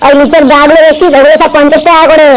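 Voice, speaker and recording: A performer voicing a bossy, crude village shopkeeper in a comedy cartoon, with a pitched-up voice. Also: little pitch movement, racing, noisy background.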